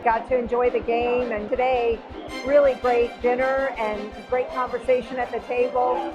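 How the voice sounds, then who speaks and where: A woman fan chatting about her trip in a warm, easygoing testimonial. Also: on the high side. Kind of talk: casual testimonial. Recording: dull lo-fi audio with music bed.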